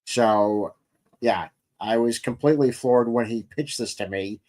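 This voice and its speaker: A man's voice: mid-range voice, measured pace, darkish tone. A man hosting a casual interview about his creative work, talking as a writer. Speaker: podcast host and comics writer.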